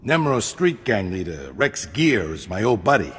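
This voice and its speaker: An actor playing a weary, streetwise ex-gangster who talks colloquially in a video game cutscene. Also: male voice, lowish voice, sing-song, moderate pace, fairly bright tone, slight background noise. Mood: urgent, grim. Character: weary ex-gangster man, cautious streetwise older brother.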